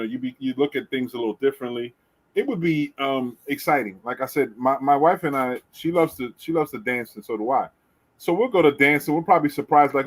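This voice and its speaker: A middle-aged man hosting a podcast, chatting casually and telling lively stories with casual swearing. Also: medium pitch, low-quality recording. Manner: animated storyteller, swears casually. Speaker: podcast host. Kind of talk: casual chat.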